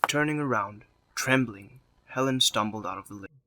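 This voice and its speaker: A man narrates a scripted story in a fairly low voice. His tone is crisp-edged and forceful as he tells the drama. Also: normal speed, slight background noise.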